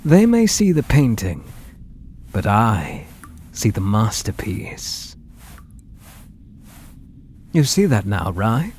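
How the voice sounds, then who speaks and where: Man acting a slow, obsessive British artist lover. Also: fairly low pitch, wildly swooping pitch, a little hiss or hum, exaggerated voice. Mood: rapt, worshipful. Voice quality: soft and breathy, often dropping to whispers. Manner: tender, slow, menacingly intimate. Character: obsessive, possessive lovestruck male artist. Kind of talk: ASMR roleplay acting.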